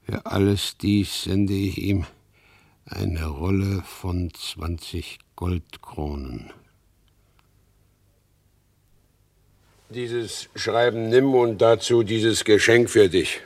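An actor in a German radio play as a proud, embittered and resigned outlaw horse dealer, speaking gravely and formally. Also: male voice, low voice, takes it slowly, darkish tone, full character voice. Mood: resolute.

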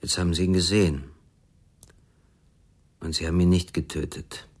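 Deep, husky, detached confidant. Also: male voice, moderate pace. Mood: calm, detached. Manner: laconic, detached, calm. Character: calm, cryptic, unyielding male confidant holding sessions. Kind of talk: radio crime drama dialogue.

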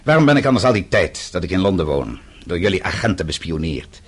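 Bitter exiled banker, deep husky voice, scornful, emphatic, radio play. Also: male voice, sing-song, fast, full character voice. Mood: bitter, challenging. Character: defiant, bitter exiled male banker, suspected political dissident.

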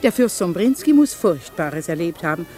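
An actress playing a flirtatious, excitable society lady in a gushing, theatrical 1950s German radio play style. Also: on the low side, normal speed, faint music behind. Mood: exasperated.

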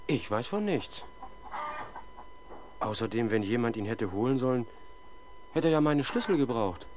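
A sober police sergeant, played by a man, on a faintly hissy old radio recording. He speaks at medium pitch in a restrained, cautious, matter-of-fact way. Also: expressive, moderate pace, lightly acted. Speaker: actor in a radio crime play. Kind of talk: 1970s German radio drama acting.

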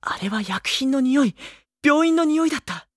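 An actor playing an earnest, brooding teenage schoolboy in a Japanese audio drama, questioning and narrating with dramatic pauses. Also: male voice, very high voice, lively intonation, fairly bright tone, no room sound, clean studio sound. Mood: anguished realization.

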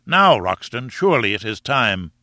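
A man's voice: mid-range voice, sing-song, moderate pace, bright, forceful tone, no echo, studio quality. A man voicing a bitter, sceptical elderly professor with a formal, indignant manner, in a storybook reading. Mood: bitter, scornful.

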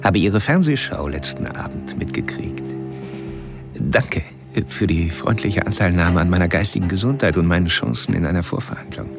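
Radio crime drama: calmly deranged killer, low gravelly voice, pedantic and taunting, music underneath. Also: male voice. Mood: mocking, measured. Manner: formal, pedantic, halting, with whispered passages. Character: mocking, erudite male serial killer, calmly deranged. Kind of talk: recorded taunting monologue in a radio play.

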